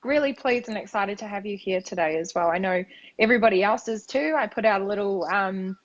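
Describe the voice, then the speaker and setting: A woman hosting a live interview, chatty and upbeat with a slightly croaky voice and many fillers. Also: medium pitch, a little fast, muffled recording. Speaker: host, business mentor for health coaches. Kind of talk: live-stream interview chat.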